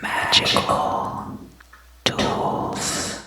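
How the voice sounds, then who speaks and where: A person whispering a guided meditation in a breathy, unvoiced voice, giving instructions in halting, spaced-out phrases. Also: bright, forceful tone, slight background noise.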